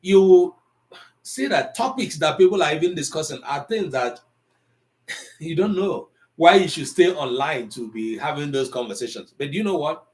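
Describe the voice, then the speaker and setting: A man's voice: fairly high pitch, expressive, normal speed. A middle-aged man teaching an Igbo language class online, lecturing in a conversational way that mixes English and Igbo.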